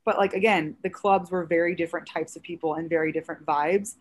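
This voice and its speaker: A woman around thirty with a raspy voice, a stand-up comedian chatting casually as a podcast guest with lots of fillers. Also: lowish voice, fast talker, slight background noise. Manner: chatty, animated storytelling with many fillers.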